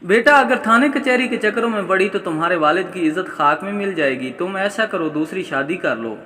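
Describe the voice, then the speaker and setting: A man's voice: high-pitched, animated, a little fast, pushed and bright. A man voicing a worried, pleading older mother in a story, coaxing and concerned. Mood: worried, advising. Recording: long hall-like reverb.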